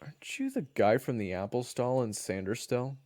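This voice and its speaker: A man's voice: mid-range voice, big pitch swings, normal speed, darkish tone, in a large hall, close to an everyday voice. A man playing a wry, sarcastic young adventurer who also narrates a fantasy audio roleplay. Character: young male adventurer hero, wry, irritable trainee and narrator.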